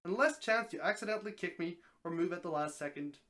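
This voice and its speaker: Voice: mid-range voice, quick pace, fairly bright tone, lightly acted. A reader voicing an affable, matter-of-fact talking snake. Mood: confident.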